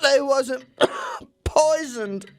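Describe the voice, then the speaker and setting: An actor in a radio play voicing a wry, muttering, slow-witted underling with uneducated grammar. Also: male voice, very high-pitched, huge pitch swings, heavily acted. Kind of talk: radio theater acting.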